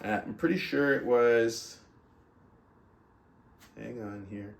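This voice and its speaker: A man's voice: mid-range voice, slow, gravelly. A man speaking as an earnest, conversational motivational book club host, moving between talking to listeners and reading aloud. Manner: earnest, conversational, rhetorical check-ins like "right?". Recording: roomy echo, distant mic.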